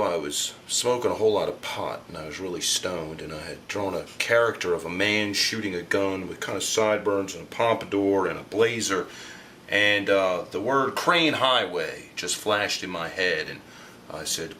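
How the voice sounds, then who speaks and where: A man answering documentary interview questions as a comic book artist, speaking in a halting but emphatic way. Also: sits low, sharp tone. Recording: faint background noise.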